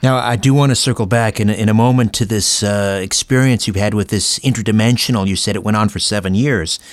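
A man hosting a conversational interview, putting his questions in halting, deliberate phrases. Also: fairly low pitch, dry recording. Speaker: interview show host.

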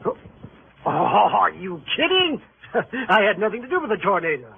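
A man's voice: high voice, very animated, moderate pace, gravelly, slightly rough, exaggerated voice. An actor playing an evasive, blustering show-business man who hems and stalls in a vintage radio crime drama. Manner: hemming, stalling, mock-innocent bluster. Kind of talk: 1940s-50s radio crime drama acting. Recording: tinny old radio recording, faint hiss.

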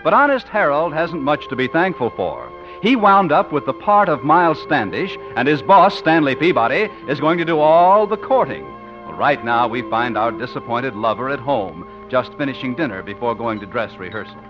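A man's voice: medium pitch, sing-song, moderate pace. An American man announcing and narrating a scripted 1950s radio show. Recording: muffled 1950 radio recording with music.

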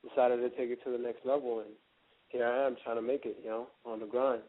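A man's voice: medium pitch, little pitch movement, quick pace, no echo. A young man from the Dominican Republic talks casually as a rapper being interviewed. His mid-range voice comes down a thin, tinny phone line with a very dry sound. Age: early twenties.

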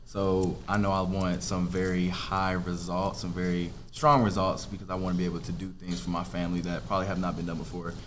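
A man's voice: low voice, level tone, brisk, few pauses, a little hiss or hum. A 24-year-old man on a podcast panel, speaking earnestly and reflectively. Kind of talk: casual roundtable chat.

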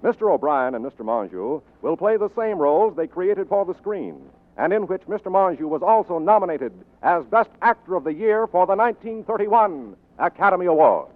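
A man's voice: high-pitched, big pitch swings, a little fast, dry recording. A man announcing formally in 1940s radio style, presenting a sponsored drama broadcast. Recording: narrow-band 1940s radio recording.

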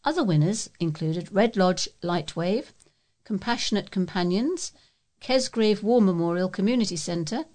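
A woman's voice: medium pitch, normal speed, darkish tone. A woman reading local news aloud as a volunteer newsreader.

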